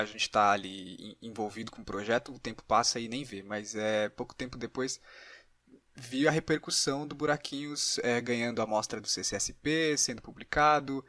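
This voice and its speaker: A man's voice: medium pitch, moderate pace, sharp tone, dry recording. A man with a Brazilian accent interviewing a guest in a casual, conversational podcast style.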